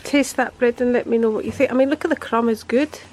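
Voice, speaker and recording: A woman's voice: a little high, steady tone, fast talker, darkish tone, reverberant. A woman in her sixties chatting cheerfully to camera in her home kitchen, vlogging about her day. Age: 60s. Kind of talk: casual chat to camera.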